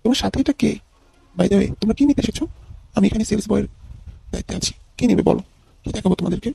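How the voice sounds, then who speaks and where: A man voicing a bitter young jilted ex-boyfriend with a high, husky voice and a needling manner in an amateur comedy cartoon. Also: very fast, mellow, a little hiss or hum. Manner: taunting, needling.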